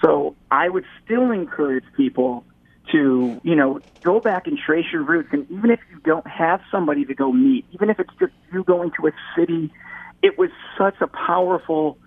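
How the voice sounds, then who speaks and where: A man's voice: fairly high pitch, animated, normal speed, no room sound. A man from the Detroit area, a guest chatting casually in an interview, rambling with many restarts and repeated words. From Metro Detroit. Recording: tinny phone line.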